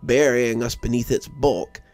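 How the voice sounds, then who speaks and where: A man narrating a scripted horror story in a measured, brooding, dramatic manner. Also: mid-range voice, fairly even intonation, dry recording. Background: quiet background music.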